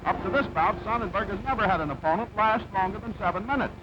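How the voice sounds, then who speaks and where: High-voiced man calling vintage newsreel sports. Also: fast talker. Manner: punchy present-tense play-by-play. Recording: dull, very noisy old film soundtrack.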